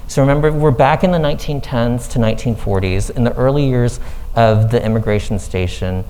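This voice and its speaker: A man born in Thailand, a foundation director, giving an earnest, measured presentation with slides to a live audience in a room. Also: medium pitch, dark tone, rough recording.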